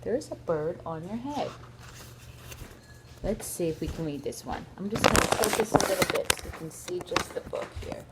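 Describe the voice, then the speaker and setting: A woman's voice: on the low side, lively intonation, moderate pace. A woman reading picture books aloud to young children, adding chatty asides as she goes. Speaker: storybook reader for young children. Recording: clear background noise.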